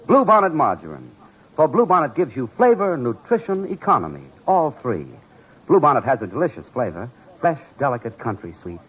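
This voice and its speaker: A male announcer delivering a 1940s radio commercial. His medium-pitched voice is slightly husky and swings expressively as he sells in a polished, persuasive way. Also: lo-fi sound. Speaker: radio announcer reading a sponsor spot.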